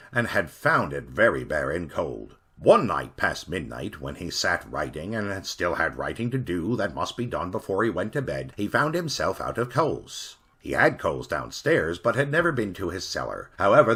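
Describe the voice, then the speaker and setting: A man reads a Victorian ghost story in a low voice with a husky edge. His pitch rises and falls widely as he dramatizes each turn. The delivery is measured and theatrical. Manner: expressive, dramatic reading. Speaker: narrator.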